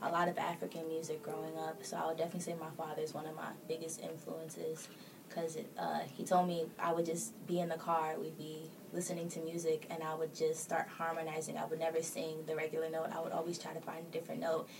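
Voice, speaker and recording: Young kenyan woman on a podcast, lowish flat voice, sounds a bit far off with noise behind. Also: fast talker. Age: 20s. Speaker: podcast guest, singer-songwriter. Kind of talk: casual interview chat. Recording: distant mic with clear background noise.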